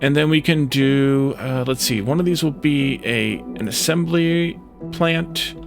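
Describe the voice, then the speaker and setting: A man's voice: mid-range voice, measured pace, gravelly, music in the background. A man hosting a let's play, muttering his way through game decisions aloud in short, hesitant phrases. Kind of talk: gaming commentary, thinking aloud while playing.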